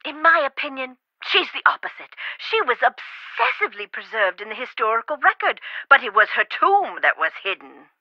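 A woman's voice: fairly high pitch, very animated, normal speed, few pauses, no room sound. A flowery eccentric lady scholar. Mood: animated. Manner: prim, theatrical, fond of elaborate metaphors and "dear". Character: eccentric chatty woman scholar of Egypt, fussy and flowery. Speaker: character in an adventure game. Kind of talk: studio game voice acting. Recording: dry, slightly dull game audio.

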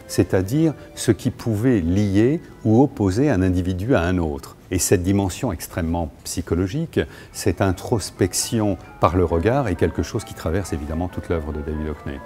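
Male curator, measured lecturing delivery, warm dark tone, lapel mic in a large gallery. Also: low-pitched, animated, soft background music. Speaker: museum curator. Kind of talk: explanatory art commentary.

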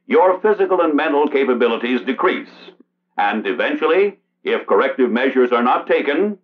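An American man narrates an old instructional film in a mid-pitched, formal voice. His intonation rises and falls markedly while the pacing stays measured. The soundtrack is dull and dated. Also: big pitch swings. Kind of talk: scripted 1960s instructional narration.

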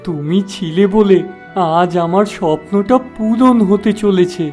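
A man's voice: high-pitched, a little slow, darkish tone. A man playing a gullible, starry-eyed young village shopkeeper in a cartoon, naive, eager and trusting. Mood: eager, grateful. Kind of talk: cartoon moral-story dialogue. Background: background music.

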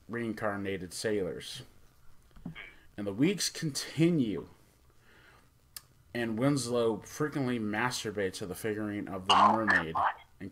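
A middle-aged man hosting a casual, off-the-cuff review, speaking haltingly and stumbling over his words. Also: fairly low pitch, a bit bright. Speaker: host of a movie review show.

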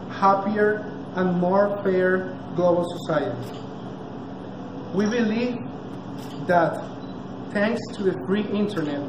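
A Venezuelan man with a high, flat voice reads a prepared speech off a clipboard, pausing often on uh and restarting words. He stands in a small, echoey cafe, caught by a camera some distance off, with clear room noise behind him. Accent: Venezuelan Spanish. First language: Spanish. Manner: careful, halting, reads from a clipboard, frequent uh and restarts. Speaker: nonprofit founder giving a launch speech. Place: small cafe with big street windows, indoors. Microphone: far camera.

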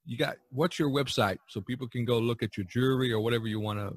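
Halting man hosting a casual podcast. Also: medium pitch. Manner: halting and repetitive, often saying "you know". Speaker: podcast host and artist.

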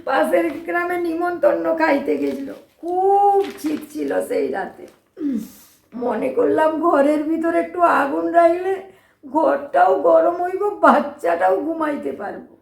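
A very high, creaky voice of a poor old East Bengali woman, worn down by grief. She speaks slowly and plaintively, close to wailing, in an emotional radio play with a roomy echo. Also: full character voice. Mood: anguished. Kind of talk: emotional audio drama acting.

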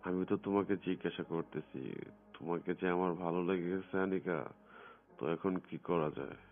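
A man with a deep voice talks privately on the phone, coaxing and insistent. The sound is thin and muffled like a lo-fi call recording, with music behind him. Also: steady tone, fairly quick. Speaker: phone caller in a recorded conversation.

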